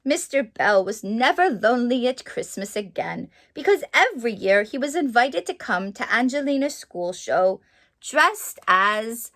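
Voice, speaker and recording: A woman playing a sweet, excitable Mrs. Claus, reading a children's story aloud with gushing, exclamatory asides. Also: a little high. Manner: gushing, exclamatory, drawn-out delivery.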